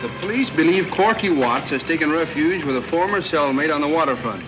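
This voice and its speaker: A man's voice: a little high, animated, a little fast, close to an everyday voice. An actor playing a matter-of-fact man in a 1940s crime serial. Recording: dull old 1940s film soundtrack with music.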